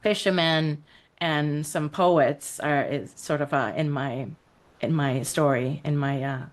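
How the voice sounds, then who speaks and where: Low-voiced woman from Iceland, reflective. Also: steady tone, slow. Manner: reflective, storytelling, frequent fillers and laughs. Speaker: podcast guest, creative coach. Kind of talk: casual interview conversation.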